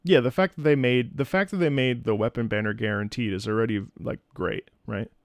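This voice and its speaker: A male streamer chats off the cuff with his viewers. He rambles through his opinions, doubling back on phrases and restarting them. His voice sits at a middle pitch in a dry, echo-free home recording. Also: fairly quick. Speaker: streamer and content creator. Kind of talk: casual livestream chat with viewers. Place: indoors at home.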